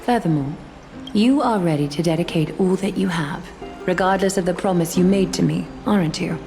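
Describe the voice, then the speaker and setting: A woman's voice: lowish voice, normal speed, gravelly. An actress playing a regal, serene female leader and demigod in a video game, speaking in a formal, gracious and composed manner. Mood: solemn, gracious. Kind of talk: dramatic video game voice acting. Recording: loud music behind the voice. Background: game music.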